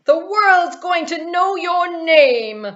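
A woman's voice: very high voice, expressive, slow, fairly bright tone, pitched above the performer's own voice, heavily acted. A woman voicing an earnest, eager young prince in a puppet show, on a dull recording. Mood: declaring.

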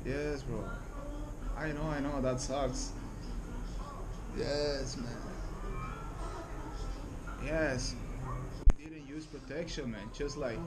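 A man's voice: medium pitch, fairly even intonation, takes it slowly, long pauses, very noisy. A man in casual, slangy one-sided phone chat, saying "man" and "bro" often, out on a café terrace. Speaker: prankster. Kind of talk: staged phone call in public, casual chat. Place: covered outdoor café terrace.

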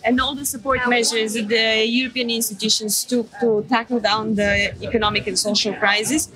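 A woman's voice: on the high side, flat delivery, brisk, poor audio. A woman from a political group giving a fluent, policy-focused interview answer outdoors at a large event. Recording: crowd chatter behind.

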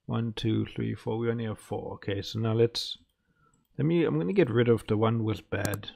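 A man's voice: lowish voice, moderate pace, vocal fry, darkish tone. A man giving casual let's play commentary as a gaming host, muttering and thinking aloud.

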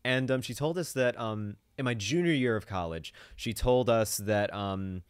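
A man's voice: fairly low pitch, normal speed, clear, resonant tone, no echo, studio quality. A young man co-hosting a podcast, chatting casually and telling stories in a playful, teasing way on a couch. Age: young adult. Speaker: podcast co-host. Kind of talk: casual chat. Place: living room couch. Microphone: studio mic on boom arm.

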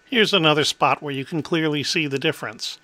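A middle-aged man hosting a tech demo, explaining in a clear, methodical way. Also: a little high, fairly quick, pushed and bright, no echo. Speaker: host.

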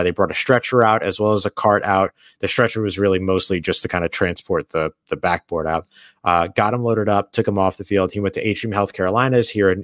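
Low-voiced man breaking down a football loss. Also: a bit bright, no echo, clean studio sound. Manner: earnest, explanatory, building points step by step. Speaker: podcast co-host. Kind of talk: sports analysis chat. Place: hotel or studio room away from home.

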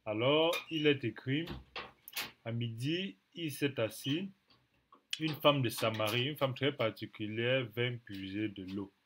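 A man in his thirties, sick with a cold and clearing his throat, teaching from the Bible to a webcam in a small room at home, repeating phrases slowly for emphasis. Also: medium pitch, a bit bright, a little hiss or hum. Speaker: preacher.

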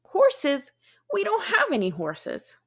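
A woman voicing a busy, excitable little girl in a storybook, dramatic and exclamatory, stretching out her words. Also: high voice, slow pace, voice pushed up, full character voice. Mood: excited, dramatic.

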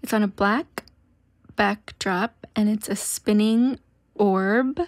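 A woman's voice: mid-range voice, slow, no echo, clean studio sound. A woman co-hosting a podcast in casual chat, giggly and chatty, full of "like". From Pacific Northwest. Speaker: podcast co-host.

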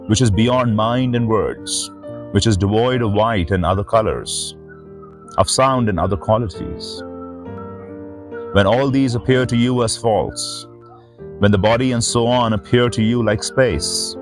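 A middle-aged man reading and commenting on scripture as a spiritual teacher, in a measured, reverent manner. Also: fairly low pitch. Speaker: spiritual teacher and channel host. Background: background music.

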